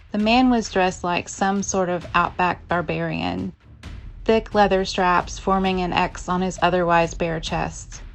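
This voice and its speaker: A woman's voice: fairly low pitch, gravelly. A woman reading scripted dramatic narration as the narrator of an audio drama. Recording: music behind the narration. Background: background music.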